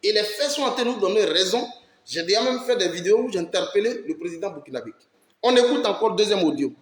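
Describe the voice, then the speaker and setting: A man delivers fiery political commentary in a fairly high voice. He hammers his points with rhetorical questions and keeps urging his audience to listen closely. The room around him rings with a noticeable echo. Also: expressive, fast. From Ivory Coast. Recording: roomy echo.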